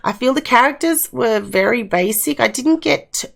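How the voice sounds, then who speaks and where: A woman with a slightly croaky voice giving casual, chatty commentary as she reviews and plays video games. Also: fairly high pitch, expressive, normal speed. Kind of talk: casual game review and let's-play commentary. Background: faint room ambience, wind at windows.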